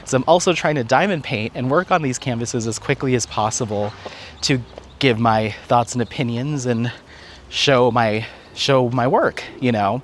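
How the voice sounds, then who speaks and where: A man's voice: medium pitch, normal speed. Chatty male vlogger over background noise. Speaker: craft vlogger and content creator. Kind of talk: casual chat, walk and talk update. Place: outdoors on a walk.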